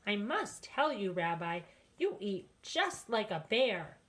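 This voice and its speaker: A woman voicing a kindly old grandmother in a children's story, fond and gently scolding. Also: mid-range voice, sing-song, takes it slowly. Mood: amused, fussing. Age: older. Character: kindly, hospitable old grandmother, chuckling and fussing. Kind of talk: children's storybook read-aloud.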